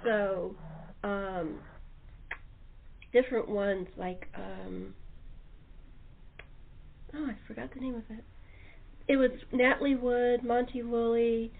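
A woman's voice: on the high side, fairly even intonation, takes it slowly, creaky, pauses often, slight background noise. A woman talk show host chatting casually in a chatty, enthusiastic way. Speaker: talk show host and radio-play producer. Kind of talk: casual chat. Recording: thin narrow phone-line audio.

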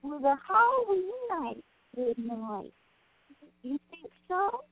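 Pharaoh, a squeaky little cat. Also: female voice, very animated, takes it slowly, heavily acted. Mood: gentle, hesitant. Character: Pharaoh, a sweet talking cat co-host. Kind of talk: children's bedtime radio show. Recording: thin phone-like line, roomy echo.

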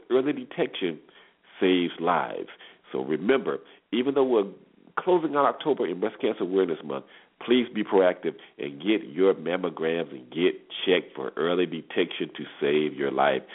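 An American man hosting a sports talk show in a conversational, emphatic way, repeating phrases for emphasis. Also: deep voice. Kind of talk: conversational sports radio commentary. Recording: thin phone-like internet radio line.

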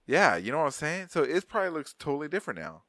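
A man's voice: fairly high pitch, very animated, fast talker, a bit bright, dry recording. Thirtysomething guy from arizona doing a podcast, rambly and cussing, laughs a lot, dry close sound. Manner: rambling, profane, laughs often. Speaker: podcast host. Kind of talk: casual chat.

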